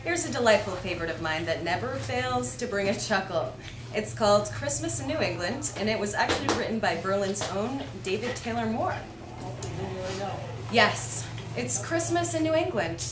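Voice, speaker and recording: A woman's voice: fairly high pitch, expressive, moderate pace. A woman reading light, humorous verse aloud to an audience as one of the readers at a live event. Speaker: reader at a holiday readers theater. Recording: echoey room with clear background noise.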